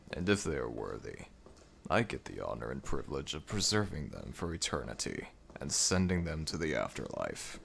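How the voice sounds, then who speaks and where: A man's voice: rumbling low, vocal fry, a bit bright, slight background noise, voice pushed down, full character voice. A man voicing an ancient, weary and grumbling jackal god of the dead in a scripted audio roleplay, with a dry, imperious manner.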